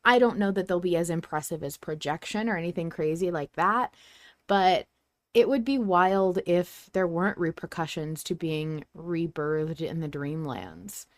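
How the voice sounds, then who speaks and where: A woman's voice: lowish voice, normal speed, no echo, clean studio sound. A woman hosting a podcast, talking casually and speculatively as she thinks aloud and hedges her points. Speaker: podcast host.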